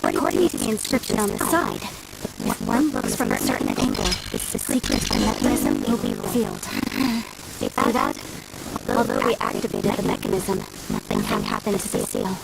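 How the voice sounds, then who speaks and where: An earnest video game researcher, with a very animated pitch and a creaky edge to her voice. She explains things expressively and is easily exasperated. Loud music plays behind her. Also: normal speed, pauses often, full character voice, low-quality recording. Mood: explaining. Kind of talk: video game character voice acting.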